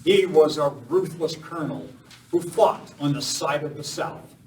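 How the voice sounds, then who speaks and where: Righteous masked cowboy hero played by a man, fairly high voice, declamatory, live Western radio play, recorded from a distance. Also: unhurried, a little mellow, natural voice. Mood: stern, accusing. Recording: echoey room, distant mic, faint noise.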